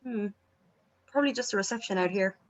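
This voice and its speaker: A woman's voice: mid-range voice, fast, lightly acted. A woman playing a cheerfully unbothered band member in a comedy table read, breezy and nonchalant. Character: cheerfully unbothered bandmate phoning from danger.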